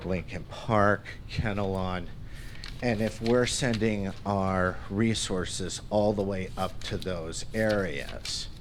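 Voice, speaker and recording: A man from Connecticut speaking at public comment in a town council meeting, concerned and halting at times. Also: low voice, slight background noise. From Connecticut, USA. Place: council chamber.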